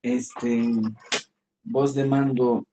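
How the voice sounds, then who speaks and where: A man giving a philosophy workshop lecture, talking in a halting way and checking in with his listeners all the time. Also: medium pitch, flat delivery, background noise. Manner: slow, halting, with frequent "¿no?" and "¿ya?" checks.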